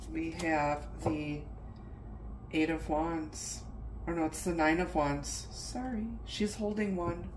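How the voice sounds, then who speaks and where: Slow-talking stuffy-nosed woman tarot reader. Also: fairly low pitch, reverberant, a little hiss or hum, rough recording. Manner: slow, chatty, musing aloud over cards. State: stuffy nose from the flu. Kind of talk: casual tarot reading.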